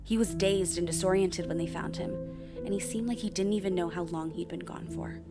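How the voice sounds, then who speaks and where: A woman's voice: lowish voice, fairly quick, loud music behind the voice, lightly acted. An actress playing a shaken but determined young woman, telling her story in earnest, confiding first-person narration for an audio drama. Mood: earnest, uneasy.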